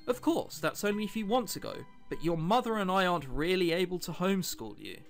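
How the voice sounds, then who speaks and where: Man reading as a placating grown-up, lively intonation. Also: high-pitched, fairly quick, faint music behind. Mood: reassuring. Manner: reassuring, explanatory. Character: earnest, placating adults: a father and a doctor. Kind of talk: visual novel dialogue reading.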